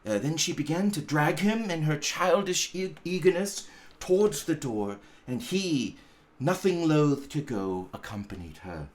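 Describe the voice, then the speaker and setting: A man reading a classic story aloud as narrator, with deliberate, dramatic phrasing. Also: a little high, lively intonation.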